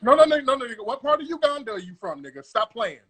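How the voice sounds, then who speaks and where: A man's voice: extremely high pitch, sing-song, fast talker, crowd noise. A man hosting a live call-in room, grilling callers in an aggressive, profane, repetitive way. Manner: aggressive, interrogating, profane, repeats himself. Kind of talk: confrontational live-stream comedy bit.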